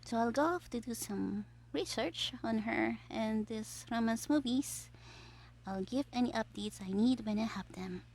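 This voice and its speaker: Woman acting as a young supervillain, high voice, smug, theatrical, playful, scheming, audio roleplay. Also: measured pace, a little mellow. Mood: musing, scheming. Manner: theatrical, playful, self-satisfied, drifting into conspiratorial whispers. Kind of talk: scripted audio roleplay as a recorded diary.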